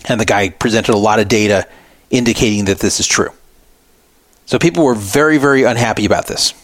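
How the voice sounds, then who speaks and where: A man's voice: lowish voice, fairly quick, no room sound. A man hosting a talk podcast, giving opinionated, explanatory commentary off the cuff. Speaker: podcast radio host. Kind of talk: unscripted poker news commentary.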